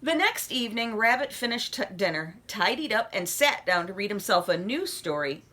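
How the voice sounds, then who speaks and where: Bright, animated woman reading a story. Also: medium pitch, normal speed. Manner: animated, addresses young listeners with questions. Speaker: read-aloud storyteller. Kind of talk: expressive children's story narration.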